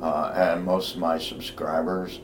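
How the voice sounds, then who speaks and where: A man with a loud voice speaking bluntly and emphatically as an interview guest, repeating himself for emphasis. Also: sits low, little pitch movement, a little slow, background noise. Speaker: guest, investment newsletter writer. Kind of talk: conversational interview about investing.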